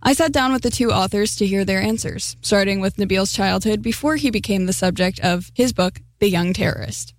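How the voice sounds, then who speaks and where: A woman's voice: mid-range voice, no echo. Woman narrating a radio news feature. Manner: smooth measured reporting. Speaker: radio reporter.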